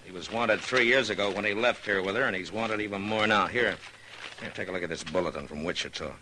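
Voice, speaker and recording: An actor playing a stern, laconic western marshal in a radio drama, terse and dry. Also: male voice, fairly low pitch, quick pace, sharp tone, a little hiss or hum, rough recording. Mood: stern, irritated. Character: stern, laconic Old West marshal, weary and guarded. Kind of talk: 1950s radio western acting.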